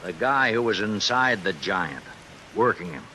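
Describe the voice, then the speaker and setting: A weary small-town American sheriff in a 1940s radio drama. His voice is low and bright-edged, folksy and dryly needling. Faint hiss and rain run through the old recording. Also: lively intonation. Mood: dry reproach. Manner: folksy, dry, unhurried needling.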